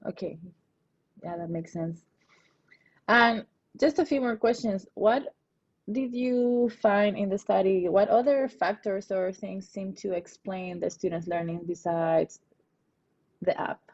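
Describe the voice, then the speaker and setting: A woman's voice: medium pitch, a little slow, long pauses, darkish tone. A woman interviewing a guest for a podcast, asking brief polite questions. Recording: dull lo-fi sound.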